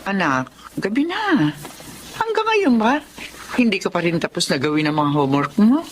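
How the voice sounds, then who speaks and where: A woman plays a middle-aged Filipino mother in a radio play. She is caring but anxious and admonishing, with lively, wide-ranging intonation. There is faint background ambience. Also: medium pitch, normal speed, slight background noise, close to an everyday voice. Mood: questioning. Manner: expressive, admonishing. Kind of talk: radio drama acting.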